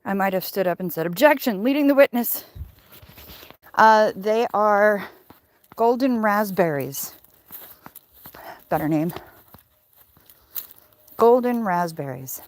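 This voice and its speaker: A woman's voice: medium pitch, expressive, slow pace, pauses often. A woman chatting casually and playfully on a livestream while out walking, with a wry, salty, rambling manner. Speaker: livestreamer hosting a walk-along. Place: outdoors on a neighborhood walk. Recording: noisy outdoor handheld recording.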